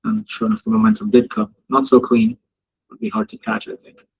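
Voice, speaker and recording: A man with a gravelly, husky voice runs a relaxed live session on the markets. He jokes with his viewers and laughs often. His talk wanders easily from the charts to small talk. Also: high-pitched, a little fast, rough recording. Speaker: trading mentor hosting a live session. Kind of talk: casual live-stream commentary. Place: home office in Dubai.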